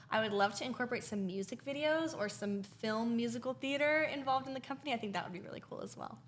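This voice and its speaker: A woman's voice: a little high, a bit bright. A young woman, enthusiastic and chatty, answering questions as an interview guest in casual talk. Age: young adult. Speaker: guest, youth theater director.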